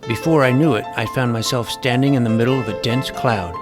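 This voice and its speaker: A man's voice: mid-range voice, a little fast, close to an everyday voice. A man playing an earnest, awkward boy who tells his fantasy adventure in wordy, vivid first-person narration. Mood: recounting, uneasy. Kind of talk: first-person scripted storytelling in fantasy audio drama. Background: prominent music.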